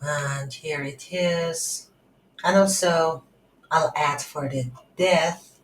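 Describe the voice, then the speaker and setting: A woman giving an unscripted screen-recorded coding tutorial, thinking aloud in halting short phrases. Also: low voice, fairly even intonation. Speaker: tutorial instructor. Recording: roomy echo, audible background noise.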